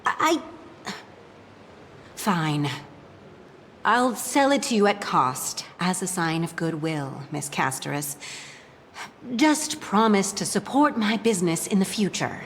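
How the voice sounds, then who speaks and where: An actress playing a chatty, shrewd but obliging market stall merchant in a video game, with a conversational, hesitant way of talking. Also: female voice, fairly low pitch, sing-song, takes it slowly, pauses often, a little hiss or hum, exaggerated voice. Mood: hesitant, bargaining. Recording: long reverb, music behind.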